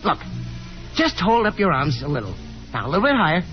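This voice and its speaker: An actor playing a breezy, confident young gentleman caller who chats in a hearty, coaxing way in a 1950s radio drama. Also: a little high, big pitch swings, brisk. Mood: playful, coaxing. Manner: hearty, slangy, encouraging chatter. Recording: dull 1950s radio broadcast, music behind.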